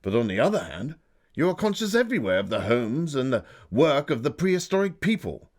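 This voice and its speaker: Man playing an earnest Victorian gentleman diarist. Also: medium pitch, big pitch swings, brisk. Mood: awed, reflective. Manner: literary, solemn, dramatic storyteller. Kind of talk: dramatic audiobook-like diary reading.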